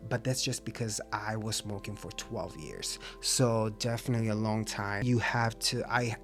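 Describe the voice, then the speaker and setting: A man talking openly to his camera in a casual vlog, reflective and encouraging, with an occasional stutter and restarts. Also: medium pitch. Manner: open, reflective, self-encouraging. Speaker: vlogger.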